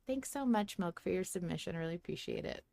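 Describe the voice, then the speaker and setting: A woman's voice: lowish voice, expressive, brisk, creaky, mellow, no echo, studio quality. A woman hosting a podcast, talking casually in an emphatic, analytical way. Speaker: podcast host. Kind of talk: casual discussion on a fan podcast.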